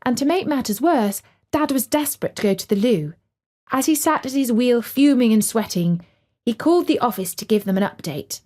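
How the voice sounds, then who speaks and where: A woman's voice: on the high side, expressive, normal speed, warm tone. A woman storyteller reading a scripted story for children.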